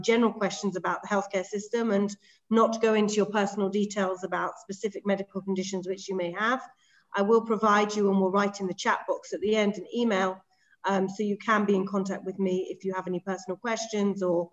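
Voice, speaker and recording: Middle-aged english woman giving an online lecture, orderly, lots of ums, kinda dull muffled call audio. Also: mid-range voice. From England. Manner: clear and organised, with frequent ums. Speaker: medical director giving a webinar lecture. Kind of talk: presenting a lecture. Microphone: video call.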